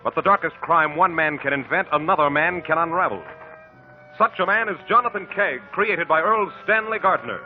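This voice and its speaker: A man's voice: a little high, expressive, moderate pace. A man with an American accent narrating in a theatrical, portentous 1950s radio style. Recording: tinny old radio with loud music. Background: music.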